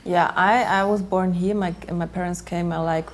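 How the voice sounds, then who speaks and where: A woman's voice: on the low side, steady tone, normal speed. A young woman designer talking about her background in a plain, matter-of-fact way. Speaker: fashion designer. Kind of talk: casual interview.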